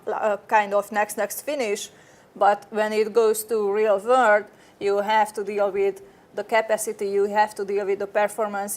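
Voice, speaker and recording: A woman from Hungary with a Hungarian accent, presenting a technical talk at a conference in an earnest way, often restarting her phrases. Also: medium pitch. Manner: earnest, frequent restarts and fillers. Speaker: conference speaker.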